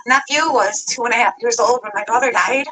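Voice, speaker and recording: A woman's voice: on the high side, fairly quick, bright, forceful tone, low-quality recording. A woman telling a long personal story, rambling and adding details as she goes. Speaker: vlogger telling her story.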